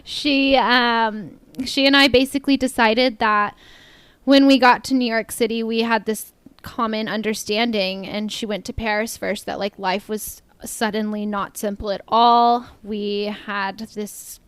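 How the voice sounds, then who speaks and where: A young woman with a slight Texan twang, a podcast guest chatting casually, upbeat and quick to laugh, saying "like" often. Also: on the high side, fairly even intonation, fairly bright tone, clean tone, dry recording. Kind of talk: casual interview chat.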